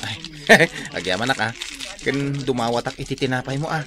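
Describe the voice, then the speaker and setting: An actor playing a boastful, wheedling working man in a radio drama, exclaiming excitedly. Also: mid-range voice, moderate pace, crowd noise, lightly acted.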